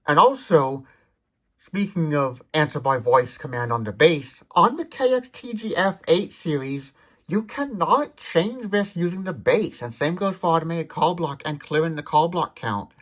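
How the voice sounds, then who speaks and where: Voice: mid-range voice, animated, unhurried. A person giving an unscripted tech demonstration, explaining things in careful detail. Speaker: product demonstrator.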